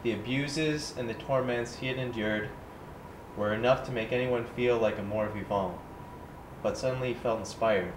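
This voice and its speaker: A man's voice: medium pitch, normal speed, natural voice. Man acting an earnest writer, echoey room. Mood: solemn. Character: earnest male writer presenting his own story. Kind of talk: staged reading, literary and dramatic. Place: indoor room at a staged reading. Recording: roomy, noisy live recording.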